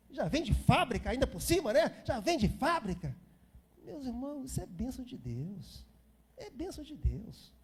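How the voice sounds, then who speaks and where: A man's voice: extremely high pitch, darkish tone, slightly rough. A Brazilian man in his fifties preaching an informal sermon as a pastor, repeating phrases for emphasis and chatting to the congregation. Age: 50s.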